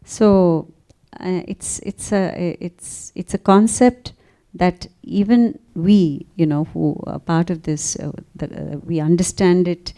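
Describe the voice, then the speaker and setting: Woman, interviewer, mellow tone, searching hesitant questions. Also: fairly low pitch, steady tone, slow. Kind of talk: conversational interview.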